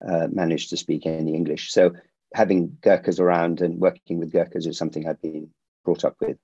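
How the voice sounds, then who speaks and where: A middle-aged man who grew up in India, a security company founder answering interview questions in a measured way with frequent restarts. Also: deep voice, flat delivery, mellow, slightly rough, no room sound, highs cut off.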